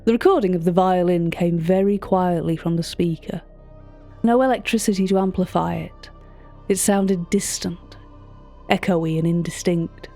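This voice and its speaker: A woman playing a polite, curious auction curator, narrating scripted audio fiction in a measured, literary way. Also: fairly low pitch, warm tone, soft background music, natural voice. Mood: hushed wonder. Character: curious, polite female auction catalogue curator telling eerie tales. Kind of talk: scripted first-person narration.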